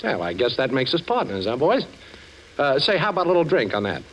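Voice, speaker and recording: An actor playing a suave, sarcastic ex-thief turned detective in a 1940s radio drama, smooth-talking and unflappable. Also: male voice, medium pitch, sing-song, fairly quick, a bit bright. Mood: wry, cool. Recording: muffled, hissy old 1940s radio recording.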